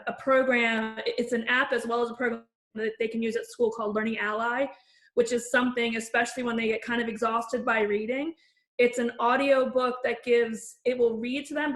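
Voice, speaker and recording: A woman with a New Jersey accent speaks earnestly on a video call, her pitch sitting fairly high but barely moving. She repeats words as she searches for the next one. Her voice rings in an echoey room. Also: a bit bright. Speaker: facilitator, HR professional.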